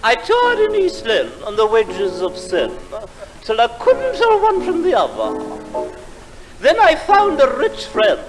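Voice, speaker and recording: A man's voice: very high-pitched, huge pitch swings, measured pace, full character voice, low-quality recording. A man playing a pompous old theatrical dame, reciting a reminiscing patter number in a 1950s stage revue. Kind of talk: 1950s revue patter song over music. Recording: old live theatre recording with music.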